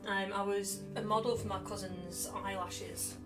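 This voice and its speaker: A woman's voice: mid-range voice, steady tone, measured pace. A young woman streaming casually, rambling and sweary with a self-mocking streak. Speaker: game streamer. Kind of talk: casual chatty livestream. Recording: loud background music. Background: game music with guitar.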